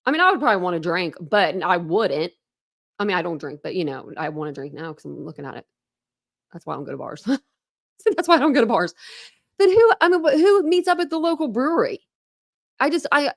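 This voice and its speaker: A woman's voice: medium pitch, big pitch swings, brisk. Chatty rambling woman host. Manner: rambling, chatty, self-interrupting with laughs. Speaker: true crime channel host. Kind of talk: casual livestream commentary.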